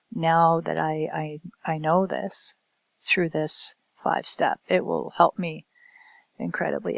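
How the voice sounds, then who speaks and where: A woman giving a recorded academic presentation as a language instructor, speaking in a halting, hesitant way. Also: lowish voice, gravelly, no echo, lo-fi sound.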